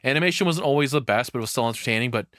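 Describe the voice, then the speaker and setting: A man giving casual, off-the-cuff reaction commentary as a streamer, blunt and sweary, halting as he thinks aloud. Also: mid-range voice, a bit bright, no echo, studio quality.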